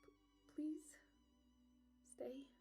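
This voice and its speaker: A woman's voice: very slow, warm tone, soft background music. A woman playing an anxious, lovelorn young princess bride in a romantic audio roleplay, speaking formally and hesitantly. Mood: nervous pleading. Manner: formal regal phrasing, hesitant, breathy pleading.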